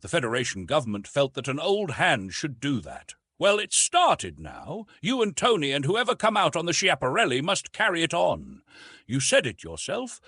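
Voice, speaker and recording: A man reading the part of an aging archaeologist, reflective and gentle, his voice a little husky. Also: a little high, wildly swooping pitch, moderate pace, dry recording, clean studio sound, pitched above the performer's own voice, exaggerated voice. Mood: resigned. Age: older. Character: aging male scholar, weary and resigned.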